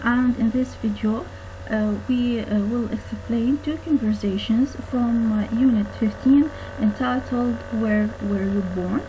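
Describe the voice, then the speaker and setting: A woman with an Arabic accent lecturing on English conversation, reading textbook lines and explaining them haltingly with many pauses. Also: a little high, background noise. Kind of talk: lesson narration, reading textbook dialogues aloud and explaining words.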